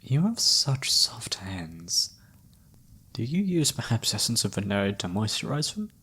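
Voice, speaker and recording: Man playing a husky, flirty fantasy palm reader. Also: medium pitch, sing-song, measured pace, sharp tone, heavily acted. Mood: flirty, soft. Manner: teasing, dramatic, wheedling. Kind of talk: flirty ASMR fantasy roleplay.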